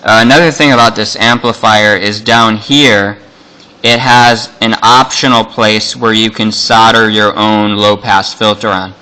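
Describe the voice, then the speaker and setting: Clear, bright-voiced man giving a casual tutorial. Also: on the low side, fairly even intonation, unhurried, rough recording. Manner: casual, matter-of-fact explaining. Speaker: electronics hobbyist demonstrator. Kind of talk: unscripted hands-on tutorial.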